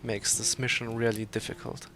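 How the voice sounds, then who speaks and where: A man playing a strategy game live and calmly explaining his moves. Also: lowish voice, normal speed, faint music behind. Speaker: player demonstrating the game. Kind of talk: explaining gameplay while playing.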